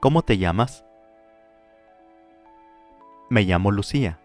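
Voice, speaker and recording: Male language teacher, low voice, careful pronunciation drills, faint music under. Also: lively intonation. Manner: clear, slow model pronunciation with pauses to repeat. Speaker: Spanish teacher.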